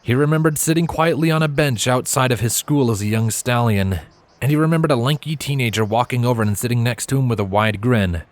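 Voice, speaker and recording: A man's voice: medium pitch, a little fast, dry recording. A man reading a scripted story aloud as its narrator. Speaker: fan fiction reader.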